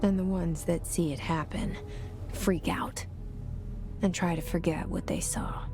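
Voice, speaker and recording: An actress playing a guarded young woman speaking a breathy, half-whispered inner monologue. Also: fairly low pitch, steady tone, a little slow, over music, close to an everyday voice. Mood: hushed. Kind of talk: game narration, inner monologue.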